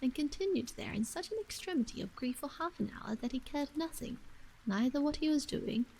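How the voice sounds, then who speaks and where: A woman reads an old novel aloud as a bedtime ASMR storyteller, in a soft, breathy voice that often slips into a whisper, gentle and hushed, with frequent pauses. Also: high voice, expressive, a little hiss or hum, rough recording. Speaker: storyteller and streamer reading aloud.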